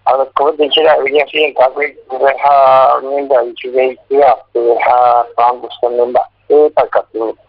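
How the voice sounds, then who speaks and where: A man's voice: mid-range voice, flat delivery, moderate pace, hardly any pauses, dry recording. A man calling in to a radio show, chatting casually over the phone. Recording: dry, tinny phone line on radio.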